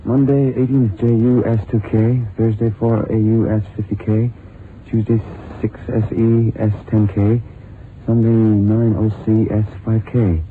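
An actor playing an eager, breezy detective's assistant in a 1970s radio drama, chatty and thinking aloud. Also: male voice, on the low side, level tone, unhurried, close to an everyday voice. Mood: puzzled, eager. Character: eager male detective's legman, breezy and puzzled. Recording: muffled, hissy old radio drama recording.

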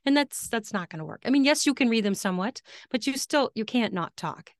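A woman's voice: on the high side, animated, fairly quick, no room sound, studio quality. A woman guest who narrates audiobooks, talking casually in an interview, laughing often and restarting her sentences.

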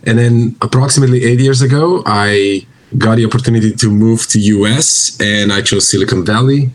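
A man's voice: lowish voice, brisk, a little hiss or hum, low-quality recording. A man, a CTO describing his background casually in a podcast interview. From Slovenia. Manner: casual, frequent "kinda". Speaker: guest, company CTO.

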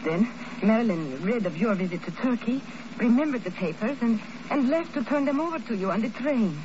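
A woman's voice: a little high, expressive, fairly quick, natural voice. An actress playing an earnest, anxious female ally of a secret agent in 1950s radio drama, with careful, formal phrasing. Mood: explaining. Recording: muffled, noisy old radio recording.

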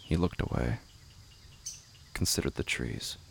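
A man's voice: unhurried, a little hiss or hum. A man reading scripted literary fiction as a narrator, with insects heard behind him.

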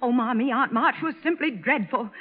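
A woman's voice: on the high side, moderate pace, lightly acted. An actress playing an impetuous, blunt, devoted teenage girl in a 1940s American radio drama. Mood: breathless, defiant. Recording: muffled, tinny old radio recording.

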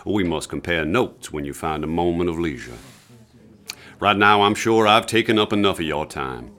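An actor playing a courteous lawman in a radio drama, speaking formally and with measured politeness. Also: low-pitched, fairly bright tone. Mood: courteous, grateful.

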